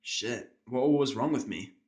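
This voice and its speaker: A man's voice: mid-range voice, normal speed, darkish tone, natural voice. A man playing an irritable, sarcastic injured patient, blunt and cynical, in a first-person audio drama. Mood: wry.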